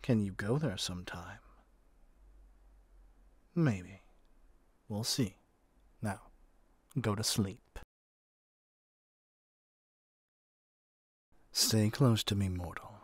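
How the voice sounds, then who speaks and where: A man's voice: lowish voice, takes it slowly, long pauses, breathy edge, no room sound. A man playing a haughty, impatient god in an audio roleplay, with an imperious, theatrical way of talking. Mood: calm, soft. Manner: imperious, theatrical, addresses listener as mortal. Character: haughty, impatient male god of magic rooming with a human.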